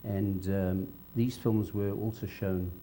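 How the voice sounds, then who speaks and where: Older South African man, very muffled deep voice. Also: steady tone, takes it slowly, dark tone. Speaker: interviewee, veteran cinema cameraman. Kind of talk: on-camera reminiscence. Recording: dull low hum, faint background noise.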